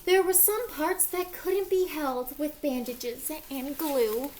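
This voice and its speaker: A woman voicing an anxious, wistful egg who tells his own storybook tale in an earnest, expressive way. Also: high-pitched, slow, a little hiss or hum, poor audio. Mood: sad, fearful. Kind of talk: picture-book read-aloud.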